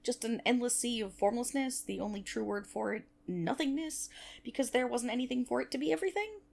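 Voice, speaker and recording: A woman's voice: fairly high pitch, fairly quick, creaky, darkish tone. A woman playing a nerdy, playful best friend in an ASMR roleplay, rambling casually to the listener with wry asides and self-interruptions.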